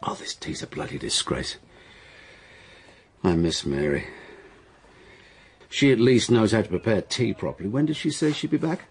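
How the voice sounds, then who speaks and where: An actor playing a sardonic, irritable writer in a radio drama, with a dry, caustic, brusque way of talking and a husky voice. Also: male voice, on the low side, sing-song, normal speed, pauses often, exaggerated voice. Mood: irritable, bitter. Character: sardonic, irritable male writer, bitter about his marriage.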